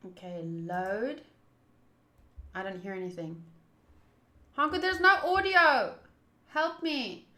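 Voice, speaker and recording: A woman's voice: medium pitch, slow pace, long pauses, bright, forceful tone, reverberant. A woman hosting a game live stream, chatting casually in a playful, teasing and informal way. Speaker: live streamer hosting a visual novel playthrough. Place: indoors, home room.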